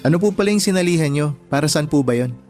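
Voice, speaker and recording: A man's voice: on the high side, animated, fast talker, darkish tone, faint music behind, lightly acted. A man playing a shy, humble and deferential young man in a radio drama. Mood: polite, shy. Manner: polite and deferential. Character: humble, shy, self-effacing young layout artist born one-handed.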